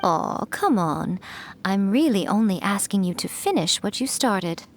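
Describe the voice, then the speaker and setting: A woman's voice: mid-range voice, big pitch swings, unhurried, a little hiss or hum. A woman voicing a sly, teasing fox-spirit shrine priestess in a video game, mocking and amused.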